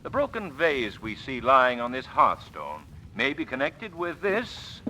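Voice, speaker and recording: A smooth witty gentleman detective, old radio show, super lively and crisp bright, bit of hiss. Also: medium pitch, unhurried, full character voice. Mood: wry, amused. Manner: urbane, theatrical, polite irony. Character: suave, wry, confident gentleman amateur detective. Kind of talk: old-time radio drama acting. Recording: hissy vintage radio drama recording.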